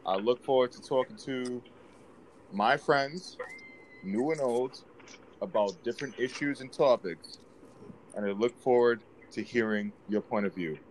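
A man's voice: mid-range voice, a little slow, a little hiss or hum, rough recording. A man from the Bronx hosting a podcast, talking earnestly and with feeling. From the Bronx, New York. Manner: earnest, emotional. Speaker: podcast host. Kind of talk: casual podcast chat.

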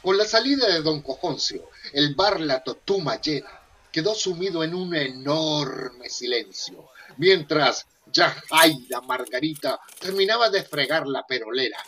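A man's voice: on the high side, expressive, measured pace, bright, forceful tone. A man narrating a humorous radio soap opera in a melodramatic, suspenseful scripted style.

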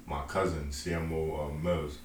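A man's voice: extremely low pitch, level tone, moderate pace, reverberant, slight background noise. A man from Long Island, a young rapper talking about his music in a loose, rambling, slang-heavy way. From Long Island, New York. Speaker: indie rapper guest. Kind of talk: casual interview.

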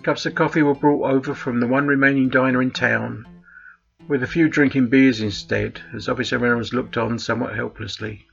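A man's voice: mid-range voice, fairly even intonation, a little fast, vocal fry, lo-fi sound. A man reading a short story aloud as scripted narration. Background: faint plucked guitar music.

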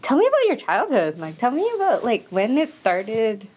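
A woman's voice: a little high, huge pitch swings, normal speed, a little mellow, husky. A woman interviewing a guest, asking questions hesitantly while searching for her words. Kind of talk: casual interview.

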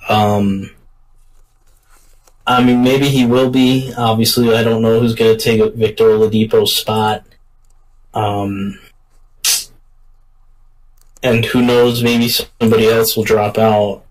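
Slow, low-voiced man, distant mic. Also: level tone. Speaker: sports podcast host. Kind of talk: casual sports talk.

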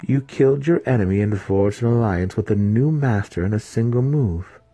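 A man voicing a female dark elf matron priestess, haughty, scheming and commanding, in an audiobook. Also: on the low side, normal speed, breathy edge, no echo, voice pushed up. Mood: cold, calculating.

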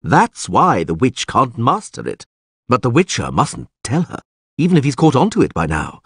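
A man voicing a grave, knowing male character in an audiobook, who explains and warns in a measured, ominous way. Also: mid-range voice, huge pitch swings, fairly bright tone, breathy edge, no echo, clean studio sound, voice pushed up, heavily acted.

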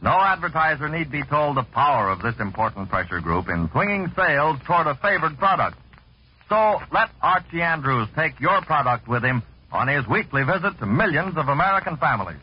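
Male vintage promo announcer, 1940s radio, polished sales delivery, lively intonation, muffled archival sound. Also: on the high side, brisk, slightly rough. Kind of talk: 1940s promotional scripted narration. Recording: dull vintage radio recording.

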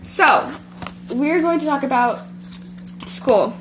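A woman's voice: sits high, animated, slow pace, over music, low-quality recording. A teenage girl hosting a homemade podcast, rambling through a school story with lots of giggling. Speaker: podcast host. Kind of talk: casual chat.

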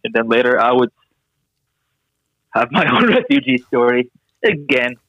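A man's voice: mid-range voice, animated, a little slow, no room sound, highs cut off. A young man from Syria, a podcast guest telling family stories with dry jokes in casual chat. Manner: dry, joking storyteller.

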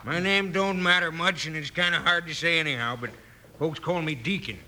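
A man's voice: a little high, a little fast, pushed and bright. An actor playing a cantankerous, nosy frontier man with rustic Western speech in a 1950s radio western. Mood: nosy, gruff. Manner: rustic Western dialect, scoffing.

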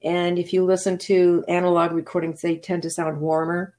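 A woman's voice: fairly low pitch, level tone, moderate pace, a little mellow. A middle-aged woman voice actor from New England, answering interview questions in an articulate, chatty way with frequent restarts. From New England, USA. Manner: articulate but chatty, many restarts and laughs. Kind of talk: relaxed conversational interview.

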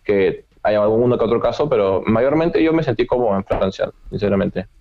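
Low-voiced man on a dull lo-fi line. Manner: measured, often ends sentences with a questioning "right?". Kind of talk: casual travel chat. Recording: dull lo-fi sound.

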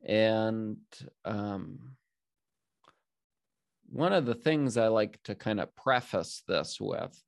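A man's voice: fairly low pitch, animated, drawn-out words, gravelly, long pauses, no room sound, studio quality. A young man hosting a faith podcast, speaking earnestly in casual talk, often restarting sentences and using fillers. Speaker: podcast host.

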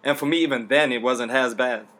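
Zimbabwe-raised dude hosting, talks quick, slangy and hyped. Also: male voice, medium pitch, fairly even intonation, fairly bright tone. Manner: upbeat, slangy, quick reactions like "that's dope". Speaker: host. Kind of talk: casual interview.

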